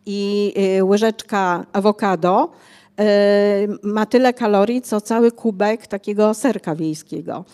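Older female author, mellow and unhurried, fills pauses often while explaining. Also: medium pitch, smooth. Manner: hesitant, many fillers, explanatory. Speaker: author guest. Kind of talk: conversational interview. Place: bookshop cafe stage, indoors. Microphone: handheld mic.

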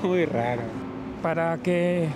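A man's voice: on the high side, takes it slowly, background noise. A man in his thirties with a Peruvian accent, explaining things casually to his viewers as a travel vlogger. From Peru. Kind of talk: explanatory vlog narration, casual talk to viewers. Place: mostly outdoors on city streets. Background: background music.

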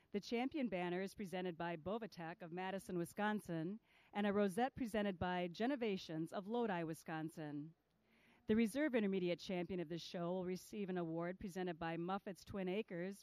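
A woman working as ring announcer, reading out entries, exhibitors and sponsors in a measured, formal way at a large indoor show arena. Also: medium pitch, a little mellow, dry recording, poor audio. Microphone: handheld PA microphone.

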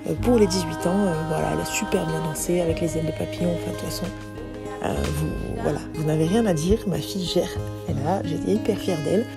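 A woman's voice: lowish voice, quick pace, vocal fry. A woman in her forties, a singer-songwriter, talking through her music clips in a loose, rambling way with many hesitations. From France. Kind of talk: casual spoken commentary over music clips. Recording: music playing under the voice.

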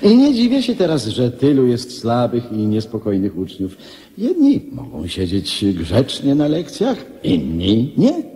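An actor playing a loyal old government minister, reflective, courtly and gently lecturing, in a radio drama. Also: male voice, medium pitch, wildly swooping pitch, measured pace. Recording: reverberant hall-like sound.

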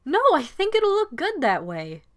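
A woman playing a feisty, clumsy teenage girl in an amateur anime audio drama, brash and expressive. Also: high voice, normal speed, heavily acted. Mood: enthusiastic.

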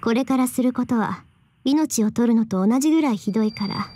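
A woman's voice: a little high, a little fast, warm tone, no echo, close to an everyday voice. Woman as cool, menacing teenage girl mage. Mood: cold, regretful. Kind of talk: visual novel voice acting. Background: game music.

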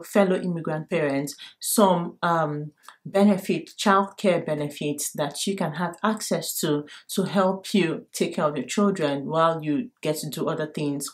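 Young Nigerian female vlogger, low-pitched, direct and emphatic, talking casually to camera from her bedroom. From Lagos, Nigeria. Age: young adult. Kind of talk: casual advice talk to camera. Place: bedroom at home.

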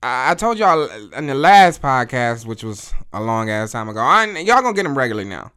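A man in his thirties talking alone on his podcast, blunt and profane, repeating phrases as he tells stories, recorded on a studio boom mic in a small room. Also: sing-song, fairly quick, pushed and bright, smooth. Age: 30s. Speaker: podcast host. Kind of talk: casual solo podcast monologue. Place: small indoor studio with photo wall. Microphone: studio mic on boom arm, headphones on.

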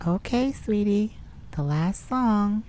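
A woman's voice: mid-range voice, animated, takes it slowly, warm tone, a little hiss or hum. A woman ASMR performer in a relaxation audio, singing and speaking to a listener. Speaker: ASMR audio creator and singer. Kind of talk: soft solo singing of jazz standards for relaxation.

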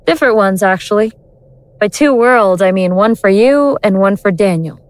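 A woman playing a cold, godlike destroyer in an audio drama, deliberate, condescending and scornful. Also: mid-range voice, lively intonation, no echo, lightly acted. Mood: grandiose, mocking.

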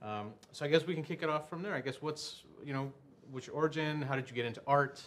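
A man's voice: a little high, fast talker, a bit bright, a little hiss or hum. A man in his thirties hosting a conversational interview show in an indoor studio. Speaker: talk show host. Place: indoor studio set at a table.

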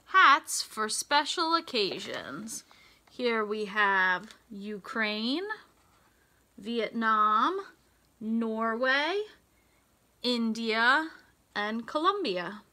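An American woman reading a picture book aloud to young children, in a deliberate, sing-song teacher's delivery with emphasized words. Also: on the high side, expressive, pushed and bright. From United States. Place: small indoor room with racks of hanging clothes.

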